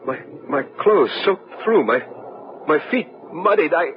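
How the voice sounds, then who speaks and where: Tormented, fear-crazed man, wildly swinging pitch. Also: animated. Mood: horrified confession. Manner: halting, repeating words in dread. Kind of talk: old-time radio horror drama acting. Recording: muffled old radio recording, music behind.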